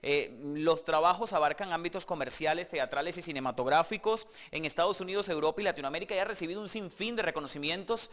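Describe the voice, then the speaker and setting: A man's voice: on the high side, quick pace, few pauses, a bit bright. A man hosting a TV interview, speaking in formal, elaborate sentences.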